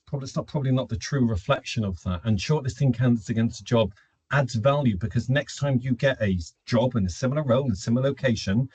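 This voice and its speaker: A man's voice: medium pitch, darkish tone, breathy edge, dry recording, low-quality recording. A man giving an informal business webinar presentation, explaining in a conversational, opinionated way and answering his own questions. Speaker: webinar presenter, recruitment automation consultant.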